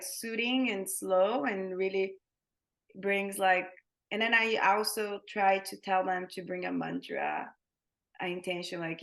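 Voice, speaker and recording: A woman's voice: mid-range voice, a bit bright. A woman who teaches yoga and Thai massage, talking casually as a guest in an interview, with many fillers and restarts.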